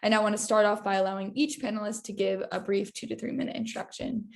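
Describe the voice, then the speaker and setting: A woman's voice: mid-range voice, steady tone, fast talker, gravelly, darkish tone. A young woman moderating an online webinar, giving a scripted, formal welcome and introductions over a video call. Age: young adult.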